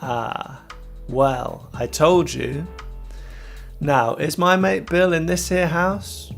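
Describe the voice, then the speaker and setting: A man's voice: a little high, fairly even intonation, slow pace, soft background music, pitched above the performer's own voice. Man playing a sly, menacing old sailor. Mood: sly, probing. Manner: wheedling, drops H's, rough sailor speech.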